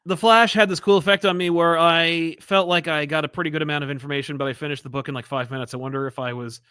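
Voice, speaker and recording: A man's voice: a little high, fairly even intonation, quick pace, sharp tone, clear, resonant tone, no echo, clean studio sound. A young man co-hosting a comic book review show, chatting casually with enthusiasm and frequent laughs. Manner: enthusiastic, laughs often, many fillers. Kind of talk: casual podcast chat.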